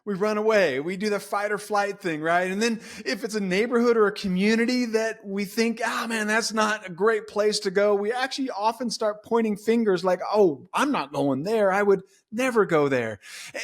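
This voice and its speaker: Brief: middle-aged male host, conversational and rambling, slightly high voice. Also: normal speed. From California. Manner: conversational, asks questions, rambling asides. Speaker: podcast host. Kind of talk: faith podcast interview.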